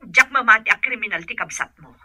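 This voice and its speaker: A woman with an Ilocano Filipino accent and a husky voice playing an advising mother in a radio drama. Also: fairly high pitch, fairly quick, sharp tone, dry recording, studio quality. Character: steady, advising mother of a grown son.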